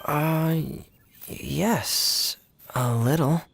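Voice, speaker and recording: A man's voice: fairly high pitch, creaky, heavily acted. A man voicing a shy, earnest young man in a video game, speaking hesitantly and with care. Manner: hesitant, measured.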